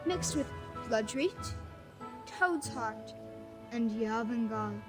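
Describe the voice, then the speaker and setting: A woman's voice: a little high, expressive, takes it slowly. A woman voicing a talkative, street-smart kid in a game, with a brash, mocking manner. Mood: sly. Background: game music.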